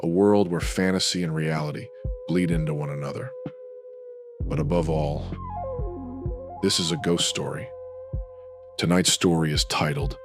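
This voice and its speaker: A man narrating a horror anthology in an ominous, measured pulp radio-drama style, in short dramatic phrases. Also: extremely low pitch, fairly even intonation. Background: music.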